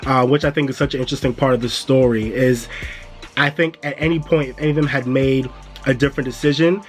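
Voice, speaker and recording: A man's voice: mid-range voice, fairly even intonation, quick pace, faint music behind. A man hosting a casual review vlog, laid-back and chatty, calling his viewers "y'all". He sounds a bit stuffy because he is coming down with a cold. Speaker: music and entertainment YouTuber.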